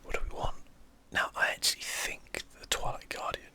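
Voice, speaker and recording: A whispered ASMR commentary over gameplay, almost entirely unvoiced. The whisper is breathy and very bright, with a sharp, airy edge. The speaker muses aloud and takes time over each thought. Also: moderate pace. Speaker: host of a game play channel.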